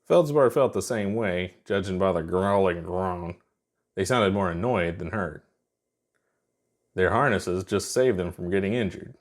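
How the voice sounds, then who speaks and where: Narrator: male, fairly low register, scripted fiction storytelling. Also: a little slow.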